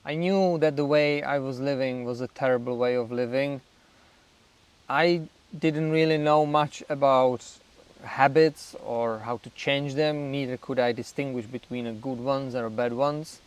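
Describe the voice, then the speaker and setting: A man with a Czech accent, a native Czech speaker, hosting his own channel and talking frankly and reflectively to camera, with small grammar slips and a few soft laughs. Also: medium pitch, clear, resonant tone. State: somewhat nervous on camera. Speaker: channel host. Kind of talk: candid talk to camera about recovery.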